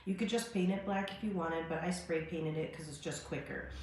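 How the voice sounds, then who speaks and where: A woman in her forties, stuffed up, talks through a craft demo in a relaxed, rambling way, full of "you know" and "um". Her voice sits a little low. It is recorded on a phone at a kitchen counter, so the room rings with heavy echo over a faint background hum. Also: a little fast. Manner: chatty, filler-heavy, friendly how-to talk. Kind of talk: casual craft tutorial. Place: home kitchen, indoors. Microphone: phone camera on a stand at the counter. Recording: echoey kitchen with faint ambient noise.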